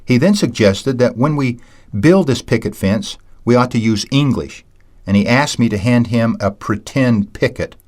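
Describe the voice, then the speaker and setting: A man reading a scripted lesson in a measured, storytelling way, teaching communication skills. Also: medium pitch, expressive, darkish tone. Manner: measured, anecdotal, self-described ham. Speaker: audiobook narrator and seminar teacher. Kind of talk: scripted audiobook narration.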